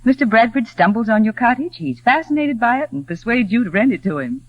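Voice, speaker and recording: An actress in a 1940s radio drama playing a polished, lightly skeptical young fiancée. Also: female voice, fairly high pitch, animated, fairly quick, no room sound. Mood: poised, wry. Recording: muffled vintage 1940s radio recording.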